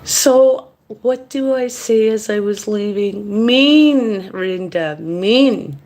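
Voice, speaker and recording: Slow, chatty woman telling a rambling story. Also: mid-range voice, darkish tone. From Canada. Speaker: mukbang vlogger. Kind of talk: rambling storytelling while eating.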